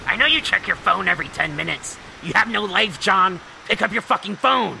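Actor as sharp-tongued alien woman, anxious friend, very creaky raspy voice, audio drama, rainy background noise. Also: medium pitch, animated, a little fast. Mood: impatient, worried. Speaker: voice actor in a fan dub. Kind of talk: fan-dub audio drama acting.

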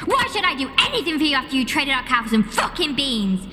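A man voicing a bitter, scolding mother in a comic fairy tale, in a shrill voice. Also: lively intonation, a little fast, background noise. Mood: furious.

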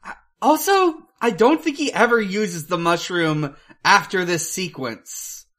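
Low-voiced, unhurried woman podcaster. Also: lively intonation, fairly bright tone. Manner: halting, profane. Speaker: podcast co-host. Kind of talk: casual movie discussion.

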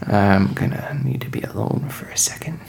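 A man's voice: on the low side, expressive, moderate pace, vocal fry, a little mellow, whispered. A man voicing a wry, bossy, exasperated scavenger rebel in a tabletop game.

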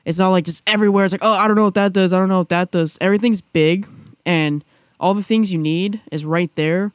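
A man's voice: high voice, steady tone, fairly quick, no echo, studio quality. A young man chatting casually as a podcast co-host, rambling with restarts and fillers. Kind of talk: casual chat.

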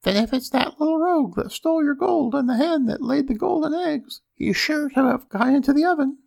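A man voicing an ogre's fussy, doting, scolding wife in a storybook tale, in a chattering falsetto. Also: lively intonation, brisk, vocal fry, darkish tone, full character voice. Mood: fussy, chiding. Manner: high shrill falsetto, chattering.

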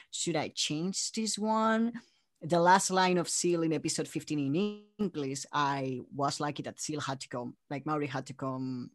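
A man from Spain, chatting casually as an interview guest and telling stories in an animated way. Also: a little high. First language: Spanish. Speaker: interview guest, audio drama creator and voice actor.

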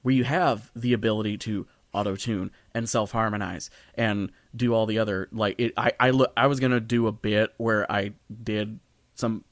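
A man's voice: fairly low pitch, fairly even intonation, normal speed, no echo. A man co-hosting a podcast in casual discussion, rambling, often interrupting himself and swearing freely. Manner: rambling, self-interrupting, profane. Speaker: podcast co-host. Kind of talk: casual discussion of a stage musical.

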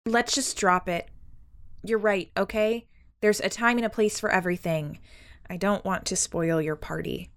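A young woman playing an earnest, principled, polite eldest sister in an audio drama, hesitant and gently defensive. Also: medium pitch, steady tone, normal speed, lightly acted. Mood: uneasy, conciliatory. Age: young adult. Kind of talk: audio drama acting, conversational.

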